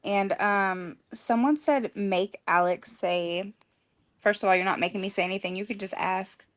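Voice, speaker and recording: A woman's voice: mid-range voice, normal speed, a bit bright. A woman co-hosting a casual live stream, playful and teasing.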